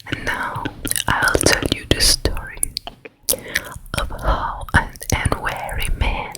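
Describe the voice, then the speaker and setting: A wicked storybook queen, played by a woman, speaks in a slow, breathy whisper. She is vain and jealous, measuring out each phrase with quiet menace in an ASMR fairy-tale roleplay. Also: poor audio. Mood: smug, scheming. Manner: hushed, slow, deliberate, menacing.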